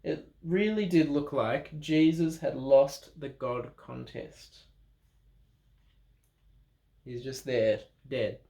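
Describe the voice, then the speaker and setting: Guy reading a bible storybook to kids, really slow and dramatic, bit of gravel in his voice, roomy sound. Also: medium pitch. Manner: dramatic pauses, expressive emphasis, playful ad-libbed asides. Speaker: storyteller reading a children's Bible picture book. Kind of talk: animated read-aloud storytelling for children. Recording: roomy, echoing room sound.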